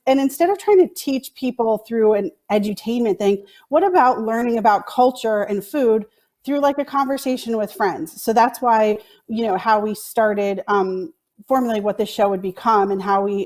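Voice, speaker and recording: Woman panelist, medium pitch, conversational. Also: moderate pace, a little mellow. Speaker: executive producer, panelist.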